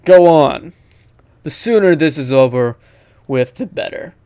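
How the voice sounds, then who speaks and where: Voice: mid-range voice, lively intonation, measured pace, dark tone, dry recording, voice pushed down. Gamer putting on a stern, blunt father, close-miked. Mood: impatient. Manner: blunt, lecturing. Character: stern, curt father of a grown child.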